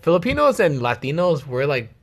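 A man's voice: on the high side, big pitch swings, fairly quick, gravelly. Joking, profane young man chatting. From Los Angeles area. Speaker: vlogger. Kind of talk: casual chat.

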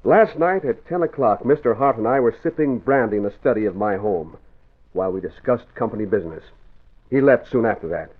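A man's voice: lowish voice, animated, fairly quick. Pompous businessman, formal and aggrieved, widely swinging delivery, tinny old-radio sound. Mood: regretful, formal. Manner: formal, theatrical, self-important. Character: pompous, wounded company boss feigning regret. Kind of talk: 1940s radio acting. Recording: tinny, narrow vintage radio recording.

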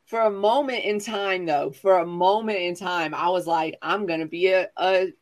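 A man's voice: high-pitched, steady tone, normal speed. A man in his forties telling casual comic stories as a chat show guest, sassy, dramatic and self-mocking. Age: 40s.

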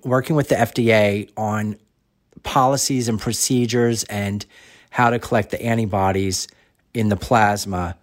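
Low-voiced man recounting his illness. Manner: halting, earnest retelling. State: lingering cough and head cold. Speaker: interviewee, recovered patient. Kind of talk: recorded interview clip.

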